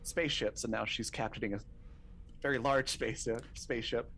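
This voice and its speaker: A man's voice: medium pitch, fairly even intonation, moderate pace, fairly bright tone, a little hiss or hum. A man playing a tabletop roleplaying game, moving between casual narration and in-character talk in a hesitant, thinking-aloud way.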